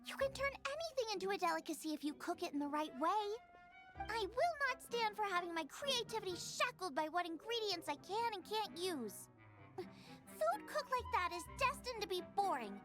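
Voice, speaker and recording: A woman voicing a spirited, proud and creative young female chef in a video game, with an emphatic, expressive delivery. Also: very high-pitched, moderate pace. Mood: defiant, proud. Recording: music playing behind the voice.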